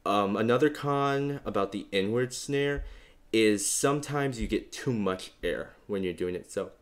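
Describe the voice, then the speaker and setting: A young man with a bass voice hosting a beatbox tutorial in a relaxed, rambling way, full of fillers. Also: measured pace. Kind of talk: casual instructional talk.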